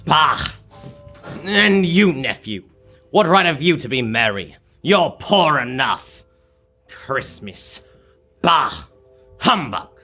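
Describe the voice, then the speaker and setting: A man's voice: fairly high pitch, big pitch swings, takes it slowly, long pauses, bright, forceful tone, soft background music, heavily acted. A young man playing a bitter, miserly old businessman in a live radio drama, speaking in a curt, scornful, emphatic way. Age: teenager or young adult.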